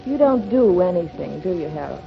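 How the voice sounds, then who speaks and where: A woman's voice: fairly low pitch, sing-song, fairly quick, gravelly, soft background music. An actress playing a sweet, teasing, genteel young society woman in a 1930s radio drama. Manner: genteel, playful, gently reserved. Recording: muffled, crackly 1930s radio broadcast.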